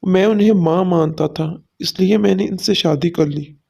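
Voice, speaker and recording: A woman voicing a mild-mannered young husband in a cartoon, calm and soothing. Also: on the low side, normal speed, vocal fry, slightly rough. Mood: explaining.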